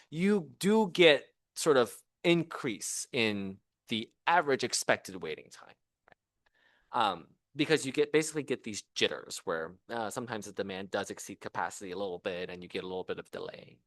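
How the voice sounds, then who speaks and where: A man lectures like a professor at a seminar, conversational and engaged. His pitch moves up and down a lot for emphasis, and the sound is close and dry. He explains by posing questions to his listeners. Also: no room sound. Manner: animated, conversational, explains with rhetorical questions and acted-out quotes. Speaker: university professor giving a seminar talk. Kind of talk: engineering lecture. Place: seminar room at a university.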